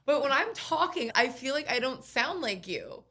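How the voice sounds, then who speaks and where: Young woman, raspy, excitable, laughs a lot, forceful bright tone. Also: sits high, normal speed. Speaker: podcast co-host. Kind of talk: casual chat.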